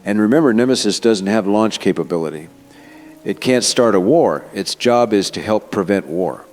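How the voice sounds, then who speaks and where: A man's voice: lowish voice, lively intonation, normal speed, a little mellow, faint music behind, lightly acted. A man playing a confident, earnest scientist being interviewed in an audio drama, explaining himself in an assured, persuasive way. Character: male scientist, confident, earnest architect of AI system. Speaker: interviewed expert.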